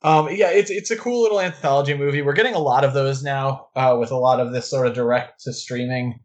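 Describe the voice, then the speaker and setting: Male podcast co-host, mid-pitched, chatty and enthusiastic film talk with rambling asides. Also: fairly quick, highs cut off. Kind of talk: casual film discussion.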